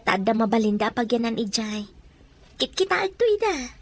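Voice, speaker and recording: A woman playing a devoted, gentle older sister in a radio-style drama. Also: a little high, very animated, moderate pace. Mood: gently instructive. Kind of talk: Ilocano radio drama acting.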